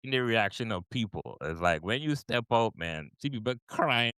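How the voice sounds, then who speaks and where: A man's voice: mid-range voice, animated, moderate pace, fairly bright tone, smooth, dry recording. A man hosting a podcast, asking casual, rambling interview questions. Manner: rambling, many fillers and restarts. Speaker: podcast host.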